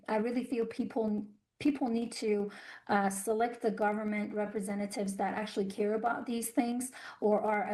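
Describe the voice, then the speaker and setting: A woman from Iran speaks as a guest on a news interview, earnest and halting, stopping often to restart her phrasing. Her voice sits a little on the higher side. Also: darkish tone, low-quality recording. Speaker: guest commentator on human rights.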